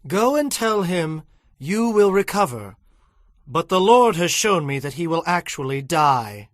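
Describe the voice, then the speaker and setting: A man's voice: on the high side, big pitch swings, measured pace, dry recording, clean studio sound. A man playing a solemn, commanding prophet in a dramatized audio Bible, declaiming his prophecies. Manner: declamatory, foretelling.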